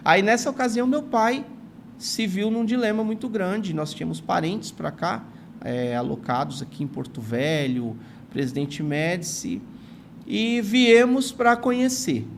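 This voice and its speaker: Man in his forties, São Paulo accent, gravelly voice, somewhat high, earnest storytelling, faint room hum behind. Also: animated, unhurried. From São Paulo, Brazil. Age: 40s. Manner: earnest, grateful, many "não é" tags. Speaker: guest, retired teacher and writer. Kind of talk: reflective storytelling in an interview.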